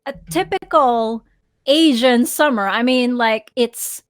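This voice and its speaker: Woman from the Philippines, co-host, high and unhurried, chatty and giggly. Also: dry recording. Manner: chatty, giggly, does silly sound effects. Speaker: podcast co-host. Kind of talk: casual live podcast chat.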